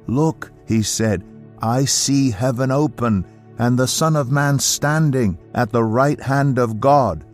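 A man giving a scripted dramatic reading of scripture in a solemn, deliberate manner. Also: on the low side, a little mellow. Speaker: Bible narrator. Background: faint music.

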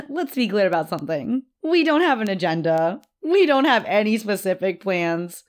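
A woman's voice: mid-range voice, big pitch swings. Young woman co-hosting, teasing and joking. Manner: joking, teasing, quick to laugh. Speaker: podcast co-host. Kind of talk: casual chat.

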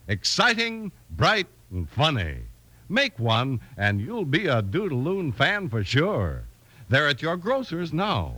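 A man's voice: fairly high pitch, fairly bright tone, dry recording. A man with an American accent announcing in an emphatic, scripted 1950s radio style. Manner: emphatic, salesmanlike.

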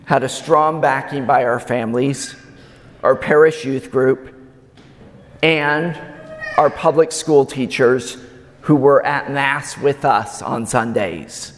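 A man preaching a sermon in a slow, measured way with emphatic pauses, his voice slightly raspy. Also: medium pitch. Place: large church. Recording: long church reverb.

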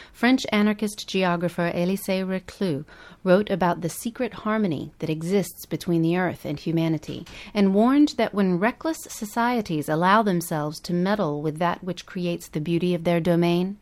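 Woman, lower-pitched voice, scripted documentary narration, podcast co-host. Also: a little mellow.

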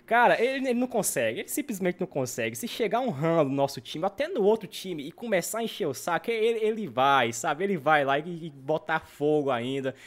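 A man's voice: on the high side, lively intonation, brisk, few pauses. A man with a northeastern Brazilian accent from Ceará, chatting casually and telling anecdotes in slang, repeating phrases for emphasis. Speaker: podcast guest, YouTuber and gamer.

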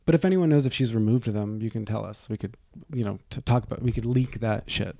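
A man's voice: fairly low pitch, fast talker, gravelly, mellow, no echo, clean studio sound. A man co-hosting a podcast, chatting casually in a teasing, rambling way with lots of restarts. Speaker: podcast co-host. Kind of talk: casual gossipy chat.

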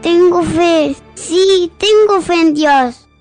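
A woman's voice: very high voice, slow, a little mellow, soft background music. A woman playing a serious, disillusioned seven-year-old daughter in a radio drama, speaking earnestly and wistfully. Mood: pleading, disillusioned.

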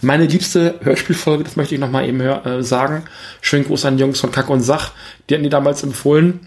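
A man's voice: medium pitch, fairly even intonation, a little fast. A man co-hosting a casual film review podcast, talking like an enthusiastic fan who often stops to correct himself. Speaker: podcast co-host reviewing films.

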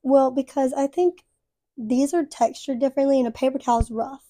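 A chatty young woman, her voice slightly hoarse. She talks in an upbeat, filler-heavy way, pitched fairly high but with a mellow, soft tone. The sound is close and dry. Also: steady tone, moderate pace, no echo. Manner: chatty, upbeat, full of "like" and "literally". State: losing her voice. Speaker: podcast host. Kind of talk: casual chat.

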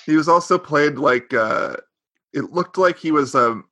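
Bright-voiced man rambling through a recap. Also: on the high side, animated, measured pace, no room sound. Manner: rambling, self-correcting, sarcastic. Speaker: podcast co-host. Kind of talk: casual chat recapping a sitcom.

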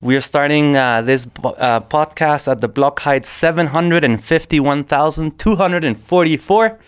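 A man hosting a podcast interview, friendly and a little hesitant, filling pauses with uhs. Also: a little high, clean tone. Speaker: podcast host. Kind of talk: interview hosting, switching between Spanish and English.

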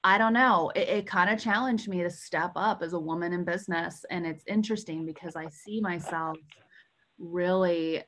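Low-pitched American woman, animated and informal. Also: moderate pace. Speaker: podcast guest, sales coach. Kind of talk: casual interview conversation.

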